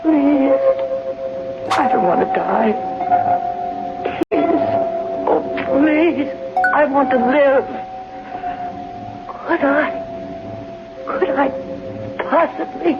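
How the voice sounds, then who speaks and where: An actress playing a frightened, sickly bedridden wife in a radio drama, halting and breathless with agitation. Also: female voice, sits high, animated, exaggerated voice. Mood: desperate, pleading. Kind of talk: old-time radio drama acting. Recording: dull old radio recording, loud music.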